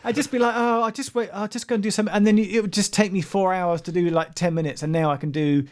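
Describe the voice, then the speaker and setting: A man co-hosting a podcast in casual chat, animated, often repeating and restarting his phrases. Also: high-pitched, fast talker. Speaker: podcast co-host.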